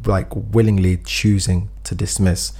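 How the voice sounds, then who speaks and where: A man's voice: sits low, little pitch movement, measured pace, mellow, slightly rough. A man co-hosting a casual chat, giving earnest advice and acting out example speeches, a bit phlegmy. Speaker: podcast co-host giving advice.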